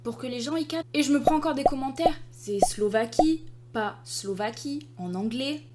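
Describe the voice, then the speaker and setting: A woman's voice: high voice, normal speed, noisy background. Exasperated young Frenchwoman chatting. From France. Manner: exasperated, lively storytelling with frequent fillers. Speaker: artist and video creator. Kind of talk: casual chat to the camera.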